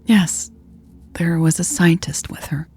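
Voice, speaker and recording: Guilty, pleading woman acted by a female narrator, soft and hesitant, husky with a creaky edge, tearful audio drama confessions. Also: on the low side, slow, faint music behind, heavily acted. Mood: hesitant, ashamed. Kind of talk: audio fiction dialogue reading.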